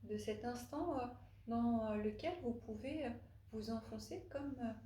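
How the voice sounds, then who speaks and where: Very slow, mellow woman guiding relaxation. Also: fairly high pitch. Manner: hesitant, halting phrasing with long pauses and frequent hums. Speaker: yoga nidra instructor. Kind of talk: guided relaxation meditation. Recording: roomy echo, faint background noise.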